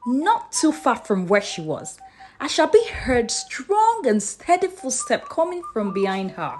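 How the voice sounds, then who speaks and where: Female storyteller for folktales, theatrical and expressive, taking her time, with swooping intonation. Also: high-pitched, measured pace, slight background noise. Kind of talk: dramatic folktale narration.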